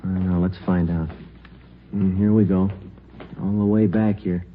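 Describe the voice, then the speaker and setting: An actor playing a terse, steady police detective who gives clipped, deadpan lines in a 1950s radio drama. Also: male voice, sits low, normal speed, a little hiss or hum, natural voice. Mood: terse, intent. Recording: narrow-band old radio recording with music.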